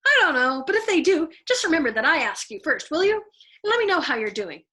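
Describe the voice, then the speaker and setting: An anxious airman from a storybook, acted out by a woman reader, chatty and needy. The voice rides high and rambles eagerly, pleading like someone who badly wants a favor. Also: sing-song, a little fast, sharp tone, full character voice. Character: anxious, pleading airman, a reluctant family man.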